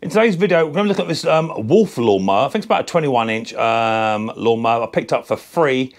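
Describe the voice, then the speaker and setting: A man with a croaky, slightly sore-throated voice, talking through a mower repair in his home workshop. He rambles in a friendly, unscripted way, full of ums and restarts, at an ordinary middle pitch. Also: animated, brisk. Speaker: lawnmower repair channel host. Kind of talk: casual workshop repair vlog.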